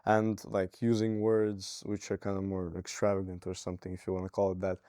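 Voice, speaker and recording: A man's voice: sits low, a little fast, dry recording, clean studio sound. A teenage boy from Latvia, a native Latvian speaker, chatting casually as a student guest with lots of "like" and "kinda". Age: teens. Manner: relaxed, filler "like" and "kinda". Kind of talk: casual interview chat. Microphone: lapel mic.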